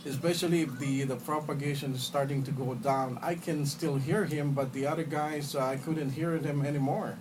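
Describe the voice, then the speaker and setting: A man talking casually as an amateur radio operator, halting and repeating phrases, in a noisy phone recording. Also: a little high, fairly even intonation, distant mic. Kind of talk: casual ham radio contact. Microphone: phone recording in radio room.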